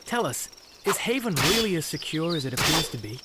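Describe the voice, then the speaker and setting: A man's voice: on the high side, very animated, fairly quick. A man playing a bold, impetuous young hero in a video game, with a direct, challenging delivery. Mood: probing. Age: young adult. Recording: clear insect and environmental noise behind.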